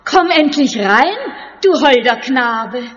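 An actress playing an impatient, bossy wife in a declamatory stage comedy within an audio drama. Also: female voice, high-pitched, expressive, slow pace, a bit bright, exaggerated voice. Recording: distant reverberant theatre hall, dull audio.

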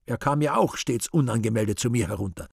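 An actor playing a brooding, vain, scheming devil who mutters to himself and breaks into exclamations in a theatrical radio play monologue, with a raspy, gravelly voice. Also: male voice, mid-range voice, expressive, quick pace, no echo, studio quality. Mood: grumbling, demanding.

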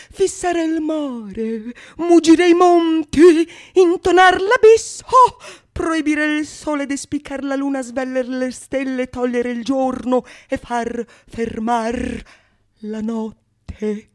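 A woman's voice: sits high, a little mellow. An actress playing a lovesick, foolish man in a theatrical soliloquy, brooding and declaiming in archaic literary Italian. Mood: grandiose awe. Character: lovesick, foolish man pining and scheming with magic. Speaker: stage actress.

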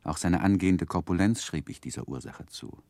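An actor playing an earnest, reflective gentleman who recounts his boyhood as narrator of a 1960s German radio drama, with careful, tender phrasing. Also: low-pitched, fairly quick, no echo, lightly acted.

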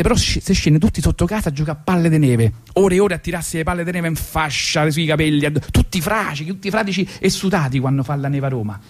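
A man with a Roman accent, a radio host and comedian telling a rambling story with false starts and asides. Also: fairly high pitch. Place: radio studio.

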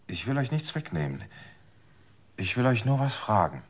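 A man's voice: on the low side, sing-song, moderate pace. An actor playing a desperate, manipulative man in a radio drama, coaxing and then threatening. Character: desperate, manipulative man seeking longer life.

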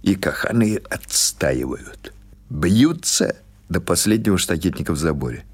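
Husky-voiced man narrating prose. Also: deep voice, expressive, measured pace. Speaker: audiobook narrator. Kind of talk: scripted literary narration of fiction.

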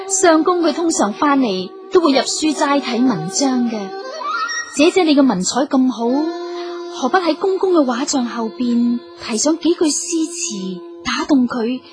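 High-voiced devoted young wife. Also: female voice. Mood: earnest, coaxing. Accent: Cantonese. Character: devoted young noblewoman wife, gentle, scheming kindly. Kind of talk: Cantonese radio drama acting. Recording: music under the voice.

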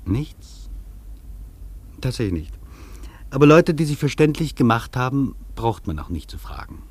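An actor playing an earnest, reflective traveller in a scripted radio feature, speaking deliberately and rhetorically. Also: male voice, medium pitch, big pitch swings, darkish tone, husky. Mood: earnest, circling. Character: earnest reflective male traveller recounting an imagined interview. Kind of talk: scripted German radio feature, literary narration.

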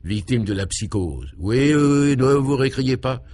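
A man voicing a troubled governor in a radio serial, grave and anxious, thinking aloud in halting, solemn phrases. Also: medium pitch, lively intonation, a little mellow, no room sound, voice pushed up.

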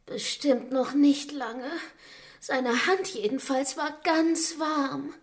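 An actress playing a detective's affectionate, easily shaken wife in a radio crime drama. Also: female voice, high-pitched, takes it slowly. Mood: tense, composed.

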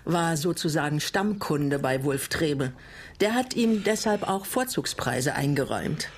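Low-voiced, resolute older widow. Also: female voice, lively intonation, unhurried, a little hiss or hum. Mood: polite, probing. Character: composed, resolute older widow, polite and probing. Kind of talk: German radio crime comedy acting.

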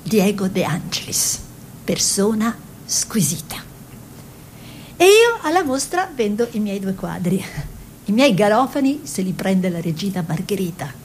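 A woman's voice: big pitch swings, measured pace, darkish tone. An actress playing a spirited self-taught woman painter reminiscing, wry and conversational, in a theatrical radio style. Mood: pleased, playful. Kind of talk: theatrical monologue and dialogue for radio. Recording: radio play with faint noise and rumble.

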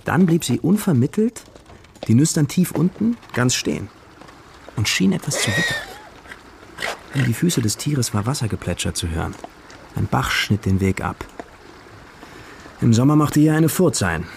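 A man's voice: medium pitch, sing-song, moderate pace, vocal fry, long pauses, background noise. An actor playing a young male wanderer who recounts his journey reflectively in a first-person radio drama. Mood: observant, uneasy. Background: music.

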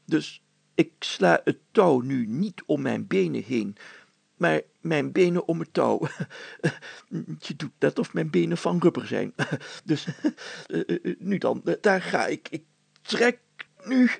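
A fussy older male mayor from a children's storybook, acted out by a male narrator. His voice is very gravelly and husky, raised above an everyday register. He is flustered and self-pitying, hesitating and clearing his throat as he bumbles along. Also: fairly high pitch, animated, takes it slowly, no echo, voice pushed up, exaggerated voice.

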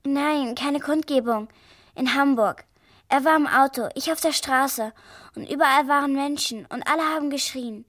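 Young refugee girl, high voice, reserved, earnest, matter-of-fact, stage drama. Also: normal speed, a bit bright. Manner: brief, matter-of-fact answers. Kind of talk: stage-style drama acting.

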